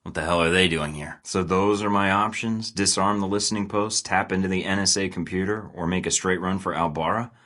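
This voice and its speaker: A deep-voiced, wisecracking secret agent. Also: male voice, steady tone, fairly quick, few pauses, a bit bright. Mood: wry. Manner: dry, sarcastic quips. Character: wisecracking male secret agent, cool under pressure. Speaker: protagonist of a spy game. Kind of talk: video game voice acting.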